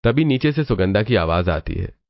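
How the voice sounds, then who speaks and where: A man's voice: fairly low pitch, very animated, normal speed, vocal fry, no room sound, highs cut off. A man narrating a scripted cartoon story as its storyteller.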